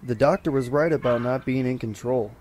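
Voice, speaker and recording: An actor playing a dutiful starship lieutenant, giving respectful, matter-of-fact reports in a sci-fi audio drama. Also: male voice, mid-range voice, a little fast, darkish tone, lightly acted. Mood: businesslike. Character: dutiful male starship lieutenant on an away mission.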